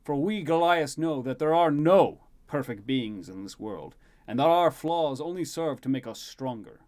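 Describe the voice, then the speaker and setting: A man's voice: fairly high pitch, expressive, moderate pace, a little mellow, voice pushed up, lightly acted. A man voicing a proud, loving Goliath king in a stately, formal speechmaking manner for a fantasy story.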